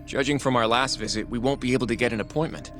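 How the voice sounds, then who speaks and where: An actor playing a timid, anxious young lawyer in a video game, speaking hesitantly and politely. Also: male voice, mid-range voice, steady tone, fast talker, natural voice. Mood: thoughtful. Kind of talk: English video game voice acting. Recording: music under the voice.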